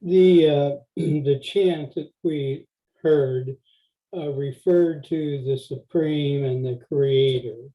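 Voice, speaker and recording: A man with a mellow, dark voice speaks very slowly and hesitantly, with many pauses and fillers. He is an audience member asking questions over a video call. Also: on the high side, rough recording.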